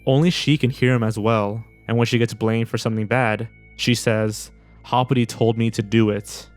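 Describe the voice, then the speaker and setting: A man's voice: mid-range voice, steady tone, moderate pace. A man reading scripted narration as a video narrator. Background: background music.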